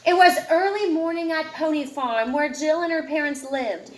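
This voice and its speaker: A woman's voice: high-pitched, normal speed, echoey room, slight background noise, poor audio. A woman reading a children's picture book aloud to a child, expressively. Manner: expressive, puts feeling into the dialogue. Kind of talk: read-aloud storytelling to a child.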